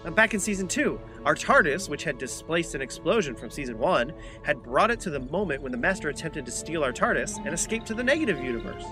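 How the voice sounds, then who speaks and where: Guy playing an eager jokey sidekick, higher male voice, bouncy pitch, comedy sci-fi audio drama. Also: sing-song, quick pace, hardly any pauses. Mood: curious, chatty. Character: excitable, chatty male adventuring sidekick. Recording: loud music under the dialogue.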